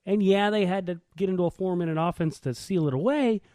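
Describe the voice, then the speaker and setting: A man's voice: sits high, fast talker, mellow, dry recording, clean studio sound. A man co-hosting a podcast with casual banter, talking in a blunt, informal way. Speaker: podcast co-host.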